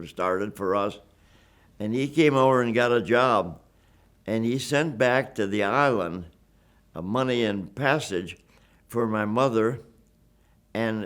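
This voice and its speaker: A man's voice: lowish voice, clean tone. Earnest older man in his nineties. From Wisconsin, USA. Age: 90s. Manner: earnest, emphatic, repeats and restarts words. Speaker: WWII veteran interviewee. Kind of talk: reflective oral-history storytelling.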